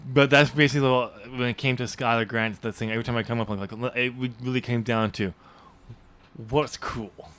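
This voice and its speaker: A man with a mid-pitched voice hosts a casual podcast as an author. He talks informally and likes to tease, keeping up a joking banter. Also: steady tone, brisk, a bit bright. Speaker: podcast host and novelist.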